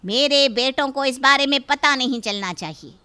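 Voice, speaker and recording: A woman's voice: sits high, normal speed, bright, forceful tone, smooth, faint music behind. A woman playing a domineering, greedy middle-aged mother-in-law in a cartoon story, haughty and threatening as she scolds. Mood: stern, demanding. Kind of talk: Hindi cartoon story acting.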